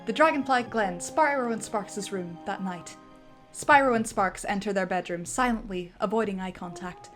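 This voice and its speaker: A woman's voice: mid-range voice, normal speed. A woman narrating stage directions for a fan dramatic reading in a vivid storybook manner, over faint music.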